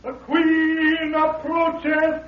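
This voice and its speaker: A man's voice: very high voice, completely flat delivery, drawn-out words, full character voice. A man acting a solemn herald in a 1930s radio adventure, declaiming archaic proclamations to a lost city. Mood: solemn, proclaiming. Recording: distant, echoey, muffled old radio recording.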